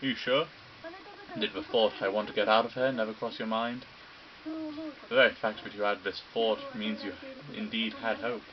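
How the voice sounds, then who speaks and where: A man voicing a gleeful, sinister male robot bear headmaster who lectures in a mocking, theatrical, drawn-out way. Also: lowish voice, sing-song, dull audio, a crowd in the background. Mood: sinister lecturing. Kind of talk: video game dub reading.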